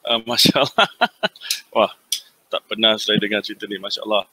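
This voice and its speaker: A man's voice: lowish voice, steady tone, normal speed, pushed and bright, breathy edge, no echo, low-quality recording. A man hosting an interview in a casual way, friendly and hesitant, with many fillers. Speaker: interview host.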